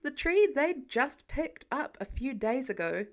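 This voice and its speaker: A woman with a slightly high voice narrates a story from a script. She reads prose aloud as the narrator of an amateur audio fiction recording. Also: big pitch swings, measured pace. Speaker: podfic reader.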